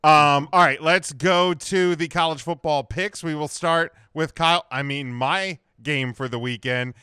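A man's voice: on the high side, a little slow, sharp tone, clean tone, dry recording, studio quality. A man hosting a casual sports picks show, chatting and moving the discussion along.

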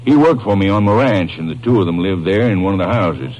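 An actor playing a reluctant, plain-spoken ranch owner in a 1950s radio drama, folksy and hesitant. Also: male voice, deep voice, expressive, darkish tone. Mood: reluctant, confiding. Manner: hesitant, folksy drawn-out explaining. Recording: muffled, noisy old radio recording.

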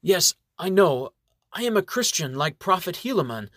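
Brief: shy submissive male elf, halting broken English, fairly high voice, read by a man. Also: big pitch swings, pushed and bright, no room sound, studio quality, exaggerated voice. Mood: unsure, stubborn.

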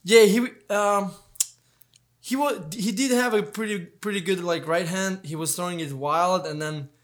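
A young man from Albania, a fighter guest in a casual interview, talking in a relaxed, hesitant way with many fillers and restarts. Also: high-pitched, measured pace.

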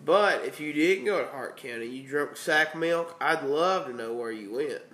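A man's voice: a little high, moderate pace, a bit bright. A congested man hosting a casual podcast with bandmates in a house, joking and laughing as he rambles. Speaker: podcast host. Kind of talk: casual chat. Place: band house room. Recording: echoey room with faint ambience.

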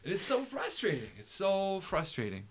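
A man's voice: sits high, normal speed. A young adult man, tipsy and tired from beer, chatting casually and acting out the voices in his stories, with plenty of swearing. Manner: animated storyteller acting out quotes, swearing. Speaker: guest.

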